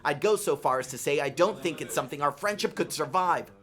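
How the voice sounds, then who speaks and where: An actor playing a crude, cocky party-going buddy in an audio drama, brash, slangy and emphatic. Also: male voice, a little high, expressive, brisk, a bit bright. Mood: brash, insistent.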